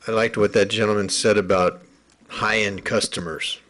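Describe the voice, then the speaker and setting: Middle-aged male, low pitch, matter-of-fact and measured, informal civic-comment delivery. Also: flat delivery, a bit bright. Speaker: member of the public commenting at a hearing. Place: large council chamber, indoors. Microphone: podium gooseneck microphone.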